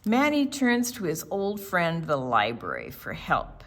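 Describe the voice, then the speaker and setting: Slow, animated woman reading a children's storybook. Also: lowish voice. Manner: expressive, pausing to explain pictures to children. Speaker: storytime reader. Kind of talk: animated children's picture book read-aloud.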